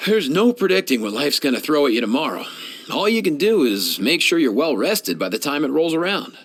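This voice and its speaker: A man's voice: a little high, sing-song, fairly quick, a little hiss or hum. A man voicing a young, laid-back and caring animal doctor for a fantasy video game, speaking in a friendly, casual way. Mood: easygoing, caring. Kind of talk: scripted video game character voice lines.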